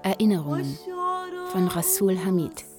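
A woman's voice: lowish voice, very animated, normal speed, music in the background. A woman reading titles and credits as a scripted radio drama announcement.

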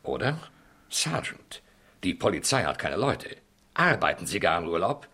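Casting: condescending chief inspector, radio crime drama, rough-edged voice with very lively intonation, dry and suspicious. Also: male voice, sits low, heavily acted. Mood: suspicious, disdainful. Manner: dry, mocking, hesitant pauses, clipped warnings. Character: condescending British police chief inspector, sardonic, suspicious superior. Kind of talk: 1970s German radio crime drama acting.

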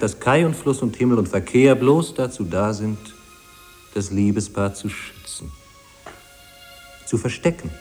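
An actor playing a lyrical narrator of city life in a 1950s radio drama, measured and listing things in fragments. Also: male voice, deep voice, darkish tone. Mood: emphatic.

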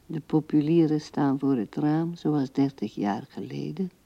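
A woman's voice: deep voice, a little slow, creaky, mellow, heavily acted. An actress playing an old widowed woman who muses tenderly and drifts as she talks to herself in a radio play, on a dull older recording. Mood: melancholy. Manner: musing, drifting, talking to herself and the absent.

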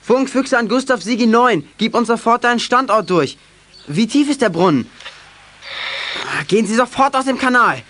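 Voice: mid-range voice, lively intonation, moderate pace, a bit bright, natural voice. An actor playing a take-charge young member of a CB radio club in a German children's radio play. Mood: focused, urgent.

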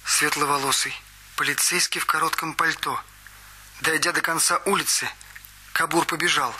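An eager young witness. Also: male voice, on the high side, fairly even intonation, moderate pace, bright, forceful tone, slightly rough, heavily acted. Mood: earnest. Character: eager young male witness recounting events. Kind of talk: radio play acting. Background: music.

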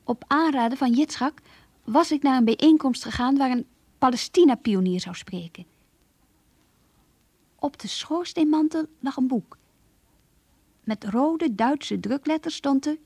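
An actress playing an earnest, idealistic young woman who reads her diary in a radio play, with a breathy, intimate, measured delivery. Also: on the high side, darkish tone, no room sound, exaggerated voice. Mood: moved, intimate.

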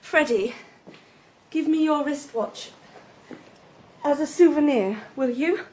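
A woman's voice: high-pitched, slow pace, a little mellow, a little hiss or hum, low-quality recording. An actress playing a haughty, brazen young British woman accused of murder, defiant and sardonic in a radio drama. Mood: cool, sardonic. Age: young adult.

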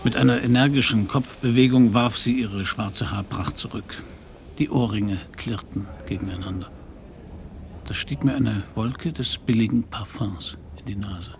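Radio noir detective: low, gravelly, dry and sardonic, noisy recording. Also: male voice, measured pace. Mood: probing, skeptical. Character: hard-boiled, wry, stubborn male Paris private detective. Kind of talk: first-person radio crime drama narration and dialogue.

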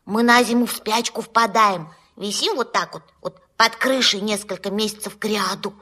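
An actress playing a brisk, proud little female bat in a children's audio play, explaining things in a matter-of-fact, slightly impatient way. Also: medium pitch, fairly even intonation, pushed and bright.

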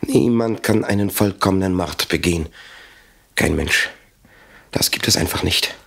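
A man playing a desperate captive lawyer in a radio play, pleading for his life in a halting, repetitive way. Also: deep voice, gravelly, fairly bright tone, husky, heavily acted. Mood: shaken, imploring. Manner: pleading, halting, repeating himself. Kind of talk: German radio play acting.

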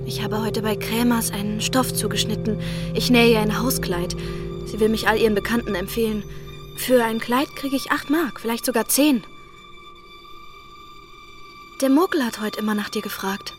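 An actress as a steadfast young working-class wife in a radio play. Her voice sits on the high side, earnest and plain-spoken, with tenderness under the firmness. Faint music runs behind her. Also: steady tone, fairly quick, natural voice. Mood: tender, anxious. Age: young adult. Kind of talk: naturalistic radio drama acting.

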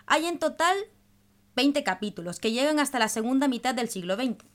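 Lady radio host, voice a bit high, explains stuff like a teacher, scripted. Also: animated, moderate pace, fairly bright tone. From El Salvador. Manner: explanatory, didactic. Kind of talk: scripted radio presentation in dialogue.

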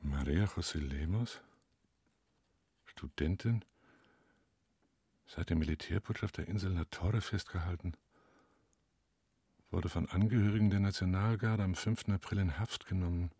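An actor in a German radio play as a reserved client, speaking in a terse, subdued way. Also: male voice, sits low, normal speed, long pauses, mellow, husky, no echo. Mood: subdued reading. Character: reserved adult male client hiring a detective.

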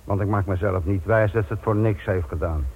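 A man's voice: fairly low pitch, fairly quick, slightly rough. A man acting a dogged, sardonic middle-aged police inspector in a radio drama, blunt and embittered by his work. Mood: sardonic, incredulous. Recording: tinny narrow-band radio drama recording.

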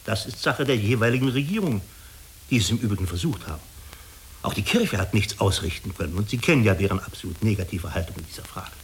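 Radio drama official: diplomatic persuader with animated intonation, faint noise on the recording. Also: male voice, fairly low pitch, fast. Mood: earnest, persuasive. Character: smooth, persuasive male official of an international agency. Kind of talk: German radio drama acting.

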